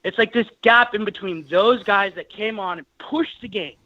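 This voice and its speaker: A man's voice: sits high, normal speed, bright, forceful tone, no room sound. A man in his thirties calling in to a radio show, talking earnestly with many restarts and fillers. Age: 30s. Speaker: caller. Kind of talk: call-in radio talk. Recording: dull phone-line call audio.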